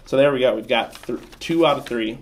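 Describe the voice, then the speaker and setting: A man's voice: mid-range voice, a little fast. A man with a Midwestern American accent hosting a sports show, bantering through a casual quiz in a rambling, self-deprecating way. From Sioux Falls, South Dakota, USA. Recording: steady background noise.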